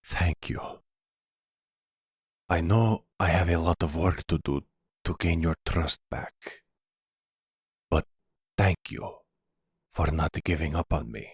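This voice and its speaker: A man playing a repentant young crime heir in a roleplay audio drama, hesitant, halting and soft-spoken. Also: low voice, slightly rough, no room sound, clean studio sound, exaggerated voice. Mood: nervous, pleading.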